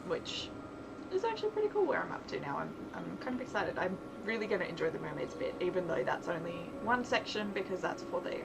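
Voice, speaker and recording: A woman's voice: on the low side, sing-song, fairly quick. A woman chatting casually on a livestream, thinking aloud as she works and often trailing off mid-thought. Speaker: streamer, visual novel developer. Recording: clearly noisy livestream audio.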